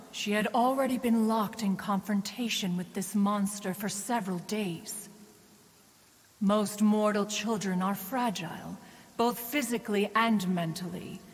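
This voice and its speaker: A woman playing an ancient, haughty crane immortal in a video game, speaking formally in measured, archaic phrases. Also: medium pitch, in a large hall, natural voice. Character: ancient, haughty female crane adeptus, aloof and wise. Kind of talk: scripted video game character acting.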